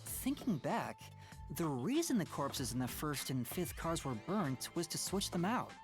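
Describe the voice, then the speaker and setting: A woman's voice: deep voice, very animated, measured pace. A woman voicing an earnest, hesitant young male detective reasoning aloud in a video game. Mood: reflective. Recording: game music behind.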